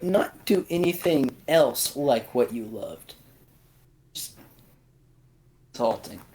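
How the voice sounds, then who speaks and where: Blunt man with a mid-pitched voice. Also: animated, slow pace, dark tone, poor audio. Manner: blunt, dismissive, repeats points for emphasis. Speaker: podcast panelist. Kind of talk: casual chat, opinionated movie discussion.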